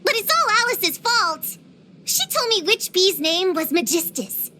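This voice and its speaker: A woman's voice: extremely high pitch, very animated, measured pace, pushed and bright, a little hiss or hum, full character voice. A woman voicing a precocious, feisty little girl in a video game, earnest and theatrical with grown-up wording. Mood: excited, emphatic. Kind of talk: scripted video game character voice lines.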